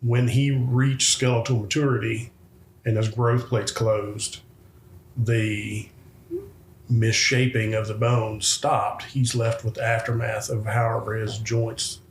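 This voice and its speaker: A middle-aged man, a podcast guest, speaking haltingly with many fillers. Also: medium pitch, little pitch movement, a little hiss or hum. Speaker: guest, parent. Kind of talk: interview talk.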